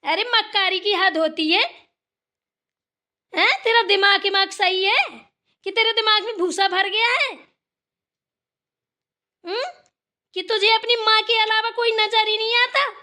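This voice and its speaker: Woman acting a village mother-in-law, extremely high-pitched, sharp bright tone, short-tempered, nagging, sarcastic, cartoon family drama. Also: measured pace, pauses often, exaggerated voice. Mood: sarcastic anger. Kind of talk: Hindi family cartoon dialogue.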